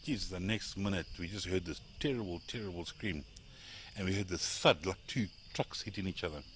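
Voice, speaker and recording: South African man, very low voice, rambling campfire tales, crickets and outdoor noise behind. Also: fairly quick, fairly bright tone. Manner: rambling, chuckling, trails off and restarts. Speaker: safari tour guide. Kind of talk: campfire storytelling. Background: crickets chirping.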